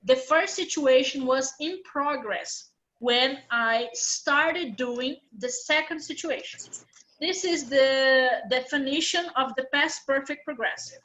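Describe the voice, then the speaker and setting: A woman's voice: sits high, slow, pushed and bright, poor audio. A woman teaching an English grammar class online, explaining patiently step by step.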